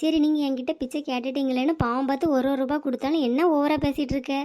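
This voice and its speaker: An honest, poor woman passerby, voiced by a woman in a cartoon, firm and kind. She speaks quickly in a high voice, scolding but well meaning. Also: fairly even intonation, warm tone, lightly acted. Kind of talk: cartoon voice acting.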